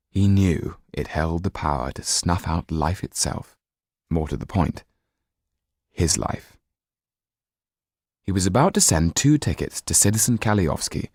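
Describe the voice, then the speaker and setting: Male literary narrator, low register, dry close sound, measured storytelling delivery. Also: a little mellow, no room sound. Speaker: audiobook narrator.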